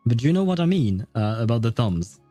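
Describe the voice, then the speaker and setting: A man's voice: medium pitch, big pitch swings, fairly quick, mellow, no room sound. A man who is a non-native English speaker chats casually with his livestream viewers in a polite, hesitant, rambling way. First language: not English. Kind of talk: casual livestream chat with viewers over gameplay. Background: faint game music.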